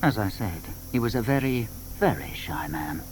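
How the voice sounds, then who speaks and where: A man narrating a dramatised children's story with expressive, measured phrasing. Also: lowish voice, slight background noise.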